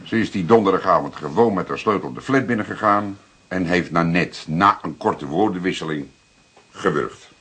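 Older police detective, deep husky voice, calm, plain-spoken, deliberate storyteller, radio drama. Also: male voice. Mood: patient, explaining. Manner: deliberate, explanatory, with dramatic pauses. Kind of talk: Dutch radio drama acting.

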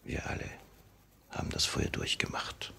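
An actor in a 1950s German radio play playing the firm, caring leader of a revolutionary cell, measured and probing. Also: male voice, pushed and bright, whispered. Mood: consoling.

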